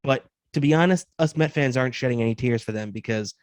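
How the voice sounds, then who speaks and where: A man's voice: medium pitch, fast talker, no room sound. Man in his thirties talking sports, dry sound. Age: 30s. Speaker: sports podcast co-host. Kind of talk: casual sports talk.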